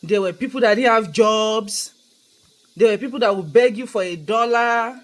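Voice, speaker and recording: A woman's voice: on the high side, moderate pace. A young Nigerian woman with a Nigerian accent, vlogging in a casual, earnest storytelling monologue and repeating points for emphasis.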